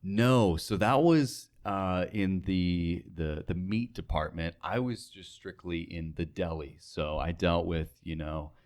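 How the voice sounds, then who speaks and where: A man's voice: sits low, slow pace, hardly any pauses, a little mellow. A young American man talking casually as a guest in an interview. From United States, North Dakota. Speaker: interview guest, radio sales associate.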